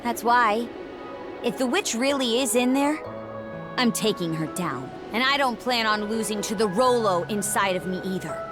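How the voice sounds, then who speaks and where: Woman playing a high-voiced, proud young warrior. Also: animated, normal speed, close to an everyday voice. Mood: resolute. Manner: earnest, defiant, tough. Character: proud, blunt, determined young female warrior. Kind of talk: dramatic video game voice acting. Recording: game music under the voice.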